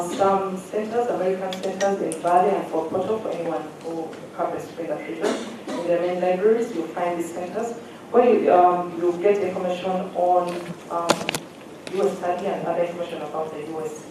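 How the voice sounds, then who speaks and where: Adviser in an echoey hall. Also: mid-range voice. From Uganda. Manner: direct, instructive, checks on the audience. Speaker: education adviser. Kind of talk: advice presentation to students. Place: large hall at a careers fair. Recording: echoey hall, distant mic, faint hum.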